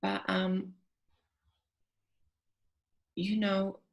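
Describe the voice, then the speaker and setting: Young female guest with a Czech accent; low, flat, slightly creaky voice, relaxed and chatty. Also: extremely slow. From Czech Republic. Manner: relaxed, many ums, chatty. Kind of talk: interview conversation.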